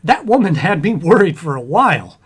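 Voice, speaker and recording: Guy doing flustered young husband on old radio comedy, stammers, highish husky voice, eager to please. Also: big pitch swings, a little fast, exaggerated voice. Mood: relieved, chatty. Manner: stammering, nervous, earnest. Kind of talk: old-time radio sitcom acting.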